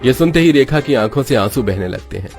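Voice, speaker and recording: Man, story narrator, medium pitch, dramatic scripted delivery, background music. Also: animated, fast, vocal fry, a little mellow.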